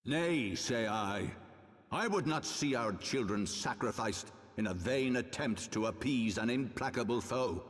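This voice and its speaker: Fantasy game patriarch, older ruler, low-pitched, formal and unbending, heavy hall reverb. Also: male voice. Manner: measured, formal, archaic oratory. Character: stern, eloquent older male religious ruler, a father justifying old sins. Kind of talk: dramatic fantasy game cutscene acting.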